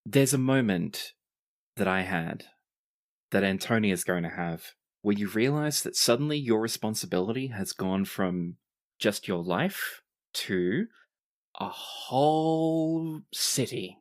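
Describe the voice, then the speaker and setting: Game master, mid pitch, conversational, descriptive, tabletop narration, podcast host. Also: animated, takes it slowly.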